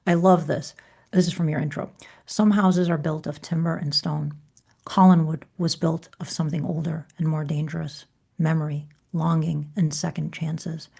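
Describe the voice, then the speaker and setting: A woman's voice: low-pitched, vocal fry, a little mellow, breathy edge. A woman hosting a podcast, talking conversationally and reading passages aloud from a book. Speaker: podcast host.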